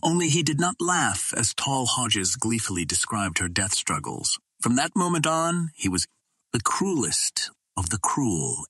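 A man narrating an adventure novel as an audiobook in a measured, steady storytelling manner. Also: mid-range voice, breathy edge, no room sound.

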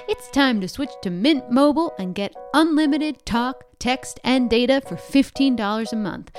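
A woman podcast host reading a scripted sponsor advertisement. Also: fairly high pitch. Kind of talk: scripted ad read. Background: music.

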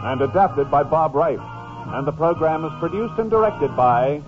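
An American man does scripted old-time network radio announcing. His voice sits fairly high and his delivery is formal and polished. The muffled vintage broadcast has music behind it. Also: expressive, unhurried.

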